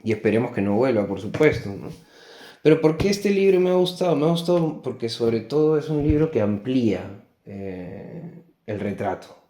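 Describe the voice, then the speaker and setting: A man with a Peruvian Spanish accent giving an unscripted book review in a reflective, halting way, often checking in with tag questions. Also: medium pitch, expressive, warm tone. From Peru. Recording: echoey, roomy sound.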